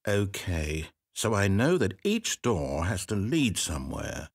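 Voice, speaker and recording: A man's voice: deep voice, very animated, measured pace, heavily acted. An actor playing a chatty, fussy, self-doubting male narrator who rambles with theatrical flair. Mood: eager, plotting. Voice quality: rambling, theatrical, wildly swinging delivery. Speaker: game narrator. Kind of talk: video game voice acting.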